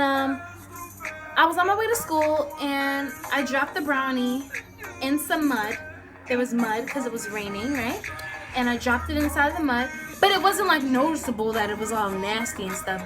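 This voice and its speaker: A young American woman telling a story casually to viewers, animated and slangy, acting out the dialogue as she goes. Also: sits high, normal speed, music in the background. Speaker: storytime vlogger.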